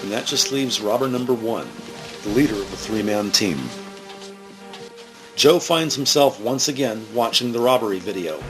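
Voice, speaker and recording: A man reading scripted narration for a police drama in a measured, factual manner. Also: on the low side, music in the background, close to an everyday voice.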